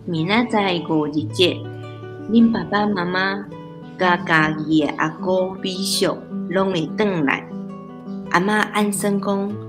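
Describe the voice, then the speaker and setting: A woman's voice: fairly low pitch, takes it slowly, music in the background. A woman acting a kindly, patient old grandmother in a radio drama, speaking Taiwanese Hokkien-accented speech. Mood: homely.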